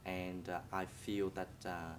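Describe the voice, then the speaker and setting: A man's voice: low-pitched, flat delivery, slow, background noise. Hesitant man, Vietnamese native speaker. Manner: hesitant, many fillers. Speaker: community member. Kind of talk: casual interview.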